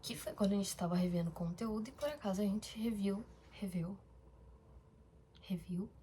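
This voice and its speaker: Young woman chatting in a mellow voice. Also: mid-range voice, normal speed, slight background noise. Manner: rambling, with fillers and self-corrections. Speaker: vlogger. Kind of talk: casual diary-style chat to camera.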